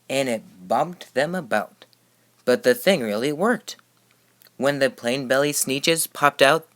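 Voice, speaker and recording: A man's voice: medium pitch, a bit bright. A man reading a rhyming children's story aloud with emphatic, rhythmic expression. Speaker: storybook reader.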